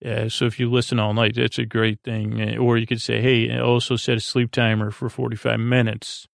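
Male podcast host for bedtime rambling: tired, even-toned delivery with vocal fry, halting and meandering. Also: medium pitch, no room sound, studio quality. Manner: meandering, halting, repeats words. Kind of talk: rambling bedtime monologue to help listeners sleep.

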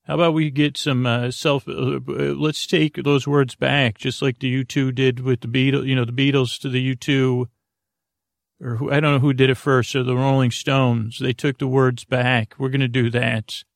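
A man hosting a sleep podcast, talking slowly and meandering with lots of filler words, mumbles and a creaky, soothing tone. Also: mid-range voice, little pitch movement, no echo, studio quality. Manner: slow, meandering, soothing and self-deprecating. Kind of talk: rambling bedtime podcast talk.

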